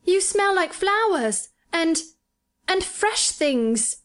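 A woman's voice: very high-pitched, sing-song, a little slow, voice pushed up, exaggerated voice. A woman voicing a sickly young boy, delighted and full of wonder.

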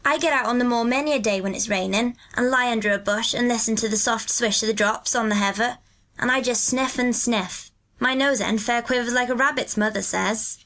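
A woman's voice: a little high, fairly quick, fairly bright tone, voice pushed up. A woman voicing a cheerful, earthy twelve-year-old country boy in a storybook, with a hearty, good-natured Yorkshire dialect. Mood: earnest, delighted.

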